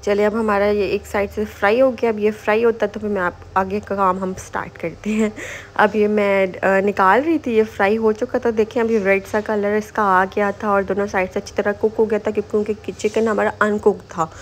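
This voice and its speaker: A woman's voice: mid-range voice, flat delivery, brisk, slight background noise. A woman giving a casual step-by-step recipe voice-over as a home cooking host, informal and repetitive, mixing in English kitchen words.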